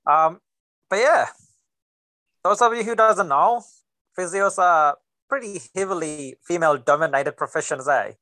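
A man's voice: fairly high pitch, unhurried, long pauses, pushed and bright, dry recording, rough recording. A young man from Singapore with a mixed Singaporean and New Zealand accent, co-hosting a casual podcast chat in a laid-back, joking way full of bro slang. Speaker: podcast co-host.

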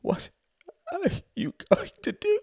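A man voicing a frightened, pleading older mother of grown sons in an audiobook, with a breathy, catching, tremulous voice. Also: high-pitched, animated, unhurried, no echo, studio quality, voice pushed up, heavily acted. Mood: wailing.

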